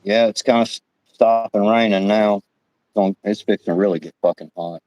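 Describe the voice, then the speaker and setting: A man in his late fifties chatting loosely on a livestream, casual and profane. His voice sits somewhat low. The sound is dry and close, with no room echo. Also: fairly even intonation, brisk, darkish tone, muffled recording. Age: 50s. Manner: easygoing, swears casually. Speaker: livestream co-host.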